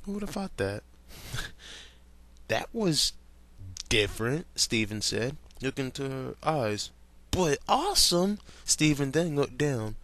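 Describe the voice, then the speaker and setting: A man's voice: medium pitch, animated, slow pace, long pauses, a bit bright. A young man reading fan fiction aloud in a casual way, stumbling over words and breaking off to comment and laugh.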